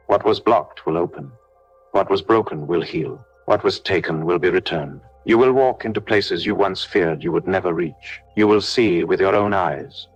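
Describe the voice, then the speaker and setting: Deep-voiced man praying over music. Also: slightly rough, highs cut off. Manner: solemn, measured declarations in short parallel phrases. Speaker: prayer leader. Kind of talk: devotional prayer and blessing, scripted. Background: background music.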